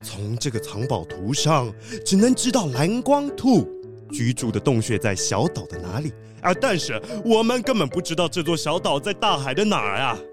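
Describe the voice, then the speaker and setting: A man playing an excitable, fretful treasure collector leading an expedition, fussy and dramatic, in a children's audio drama. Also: a little high, moderate pace, music in the background. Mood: earnest, fretful.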